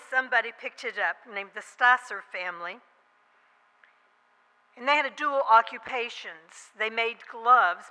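A woman pastor with a very bright, forceful voice tells a story from the pulpit in a chatty, relaxed way, now and then poking fun at herself. Her voice rings with the long reverb of a church sanctuary, and it sits a little higher than most. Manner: chatty, with self-deprecating asides. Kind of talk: conversational sermon storytelling.

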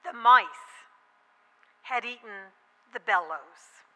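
A woman's voice: fairly high pitch, takes it slowly, sharp tone. A woman pastor telling a story in a chatty sermon, breaking in with self-deprecating asides. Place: church sanctuary. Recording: long reverb of a church hall.

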